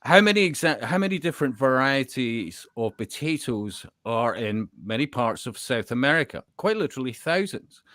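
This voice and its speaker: Animated man rambling on an online panel. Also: medium pitch, moderate pace, hardly any pauses, no room sound, studio quality. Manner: hesitant phrasing with rhetorical check-in questions. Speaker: panellist in an online discussion hangout. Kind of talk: casual, rambling opinionated talk.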